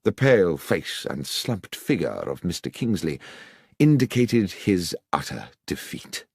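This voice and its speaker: A man narrating a scripted first-person story as an audiobook, with measured, literary storytelling. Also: sits low, big pitch swings, a little mellow, breathy edge, no echo, studio quality.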